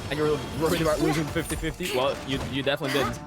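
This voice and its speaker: A man's voice: on the high side, fairly even intonation, rapid-fire. A man livestreaming a game, chatting casually as he plays. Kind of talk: casual chat while playing. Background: music.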